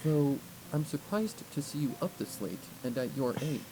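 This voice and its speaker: A man voicing a gentle, concerned grown female pony princess with a formal manner in an audiobook. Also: on the high side, very animated, normal speed. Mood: gentle, measured. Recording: clearly noisy recording.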